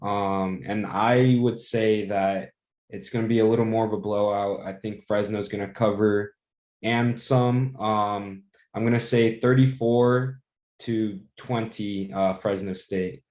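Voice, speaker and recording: A young man with a lowish voice gives his game prediction in a measured, hedging way, dropping in frequent "um"s. He speaks in a very flat tone, and his voice echoes in the room. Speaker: guest analyst. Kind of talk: casual sports prediction.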